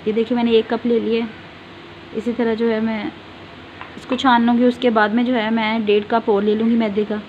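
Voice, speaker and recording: A woman's voice: on the high side, flat delivery, moderate pace, highs cut off, a little hiss or hum. Patient woman demonstrating a home cake recipe. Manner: patient, repeats fillers often, directs viewer to look. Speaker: home cooking channel host. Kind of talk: step-by-step cooking demonstration.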